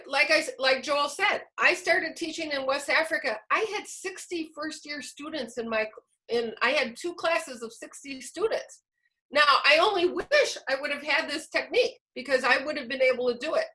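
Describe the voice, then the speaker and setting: A woman's voice: high voice, pushed and bright, low-quality recording. A woman giving an informal instructional webinar as a teacher trainer, explaining through questions and acted-out classroom prompts.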